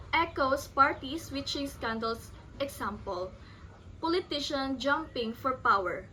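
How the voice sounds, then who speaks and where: Bright, high-voiced Filipino woman presenting. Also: a little hiss or hum, rough recording. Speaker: student presenter. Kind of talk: scripted presentation.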